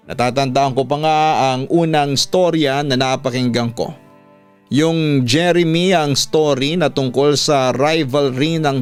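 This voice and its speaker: A man's voice: on the high side, clear, resonant tone. A Filipino man, a radio host, narrating a listener's story in a conversational way, mixing in some English. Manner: conversational Tagalog-English code-switching. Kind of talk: scripted first-person story narration, reading a listener's letter. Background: background music.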